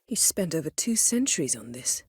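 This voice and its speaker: Proud, commanding game sorceress: lowish female voice, sardonic and crisply articulated, recorded close and dry. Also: big pitch swings, normal speed, no echo, exaggerated voice. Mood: composed, serious. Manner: imperious, sardonic, clipped and articulate. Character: haughty, sharp-witted adult sorceress, proud and commanding. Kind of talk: video game character voice acting.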